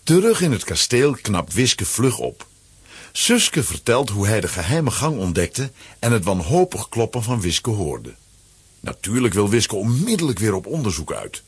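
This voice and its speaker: A man with a Flemish accent and a husky voice, narrating a children's adventure as a scripted audio drama. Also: lowish voice, big pitch swings, unhurried, dry recording, heavily acted. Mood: storytelling.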